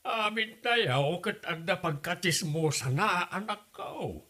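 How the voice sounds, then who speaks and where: Man acting bright-voiced, reasoning Ilocano father. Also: high voice, expressive, slow, exaggerated voice. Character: middle-aged father, reasonable family peacemaker. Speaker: actor in an Ilocano comedy drama. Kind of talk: comedy drama acting.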